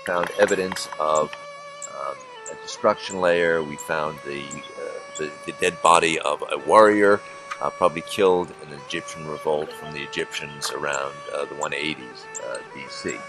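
A man excavator sitting outdoors on a mound, describing finds in a halting way that repeats words. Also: rumbling low, steady tone, over music. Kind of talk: on-site explanation.

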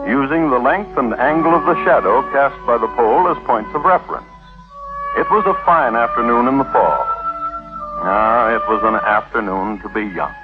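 A man's voice: medium pitch, normal speed. A man narrating a scripted story for 1950s radio in a wry, theatrical manner. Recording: very tinny vintage 1950s radio recording. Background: music.

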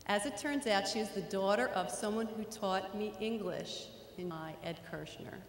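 A woman's voice: mid-range voice, moderate pace. A middle-aged woman with a Philadelphia accent, telling a story in an acceptance speech into a podium microphone in a large school auditorium. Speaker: award inductee. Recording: echoey hall, faint room noise.

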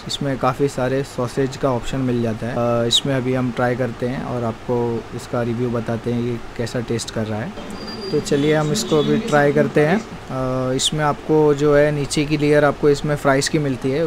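A man, hosting a food vlog and chatting about dishes and prices. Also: medium pitch. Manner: chatty, promotional, explains prices. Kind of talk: casual food review, street interviews. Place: restaurant in a city street. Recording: street traffic noise behind.

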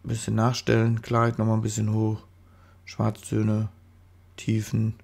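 Gravelly-voiced man with a stuffy nose. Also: fairly low pitch, completely flat delivery, unhurried, a little mellow. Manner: rambling, chatty, self-correcting with fillers. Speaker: photography tutor. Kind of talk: casual screen-recorded tutorial voice-over. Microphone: studio microphone.

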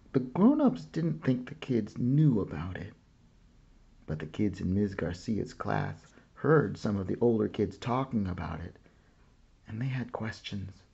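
Man reading aloud, dark husky voice, lively rise and fall, dull lo-fi sound. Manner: slow, gentle reading with lifted pitch for child characters' lines. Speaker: picture book reader. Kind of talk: children's book read-aloud.

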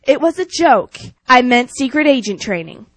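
A woman's voice: high voice, very animated, moderate pace, fairly bright tone, no echo, exaggerated voice. A woman playing a snippy, sarcastic support agent briefing a hero in a video game parody. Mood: annoyed.

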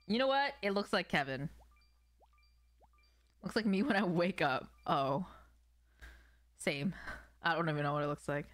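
Lower-pitched young woman, casual game streamer. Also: lively intonation, unhurried, long pauses, no room sound. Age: young adult. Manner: slangy, playful, joking with viewers. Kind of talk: casual live stream chat while gaming. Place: small room at home, indoors.

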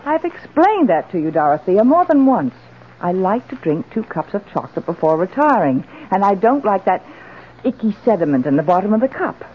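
An actress playing a doting, imperious wife in an old radio drama, theatrical and genteel. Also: female voice, mid-range voice, very animated, a little fast, lo-fi sound, slight background noise, close to an everyday voice. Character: doting, imperious wife, yearning for her husband.

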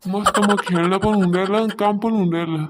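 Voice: medium pitch, fairly even intonation, background noise, lightly acted. A performer voicing a mischievous little boy in a cartoon, whining and pleading. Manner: whining, drawn-out pleas. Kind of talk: Marathi comedy cartoon dialogue.

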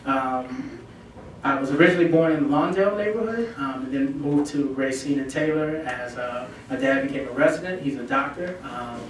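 A man's voice: medium pitch, animated, moderate pace, a little hiss or hum. A man in his thirties from Chicago, speaking earnestly and conversationally to a club meeting as a guest, with many ums. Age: 30s. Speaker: guest speaker, film festival founder. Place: meeting room at a lunch gathering. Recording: very echoey room, distant mic.

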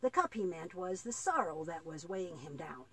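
A woman voicing a patient, devout old grandfather in a children's puppet play, explaining earnestly and haltingly with a husky voice. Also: fairly low pitch, big pitch swings, fairly bright tone, heavily acted.

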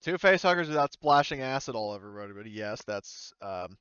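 A man's voice: medium pitch, animated, brisk, fairly bright tone, smooth, no echo, dull audio. A man speaking informally as game master, chatting through a tabletop session in a loose, hesitant way. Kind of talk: casual tabletop game chat.

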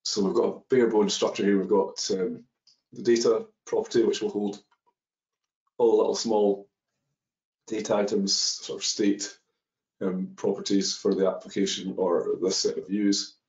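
A man's voice: fairly low pitch, little pitch movement, moderate pace, a little mellow. A man presenting a coding webinar, walking through code step by step with many ums and uhs. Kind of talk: live coding walkthrough. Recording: dull echoey webinar audio.

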